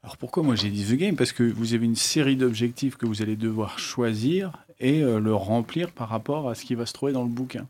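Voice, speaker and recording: A man's voice: medium pitch, brisk, few pauses, darkish tone. A man hosting a board game show, presenting in a casual panel talk.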